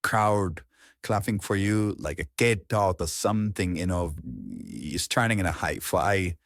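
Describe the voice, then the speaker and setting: A man's voice: low-pitched, measured pace, a bit bright, no echo, clean studio sound. A man speaking as a coach, giving an informal, explanatory lesson on public speaking.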